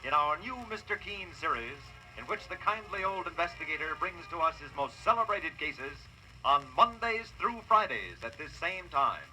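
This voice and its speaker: Male 1940s radio narrator, theatrical and solemn, very forceful tone, light musical backing. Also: on the high side, sing-song, normal speed, low-quality recording. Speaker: radio announcer. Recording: old radio broadcast with music.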